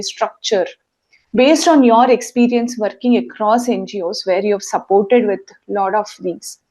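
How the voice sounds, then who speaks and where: A woman's voice: a little high, mellow. A woman host, asking long, winding interview questions and correcting herself along the way. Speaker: podcast host.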